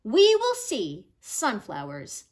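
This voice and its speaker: High-pitched, animated woman reading a storybook. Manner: animated, expressive storytelling with drawn-out words. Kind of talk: children's picture book read-aloud. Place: small home room, indoors.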